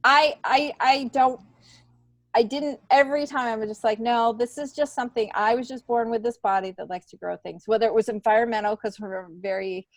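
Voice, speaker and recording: A woman's voice: a little high, steady tone, fairly quick, dry recording. A middle-aged woman talking candidly and casually as an interview guest, stressing points by repeating herself. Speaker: podcast guest, stand-up comedian. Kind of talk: casual conversational interview, personal anecdotes.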